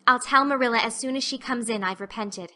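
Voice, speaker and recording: A woman acts out an imaginative little girl in an audiobook drama. The voice is clear-edged, bright and somewhat high. She is earnest and theatrical, with a plaintive, solemn turn to her wordy speech. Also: a little fast. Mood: solemn, resigned.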